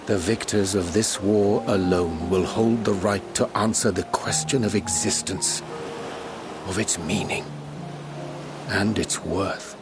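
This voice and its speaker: A man's voice: low-pitched, steady tone. An actor playing a solemn scholarly man in a video game, speaking in a grave, formal, poetic manner. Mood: solemn, ominous. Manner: grave, measured, formal and archaic. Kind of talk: video game cutscene acting. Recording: music playing behind the voice.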